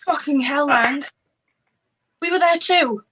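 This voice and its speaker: A woman's voice: high-pitched, slow pace, fairly bright tone. A woman acting a tense, exasperated heist crew member in a screenplay table read. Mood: exasperated, alarmed.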